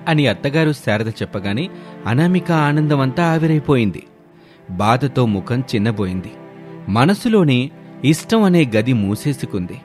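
A man narrates a scripted story. His voice sits at an ordinary male pitch. Soft music plays behind him. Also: very animated, moderate pace.

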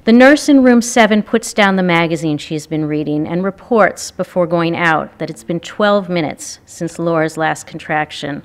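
Creaky, low-voiced woman at a lectern. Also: moderate pace, hardly any pauses. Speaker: author introducing her reading. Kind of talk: informal spoken introduction to a public reading. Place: wood-panelled lecture room, at a lectern. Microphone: stand microphones at a lectern.

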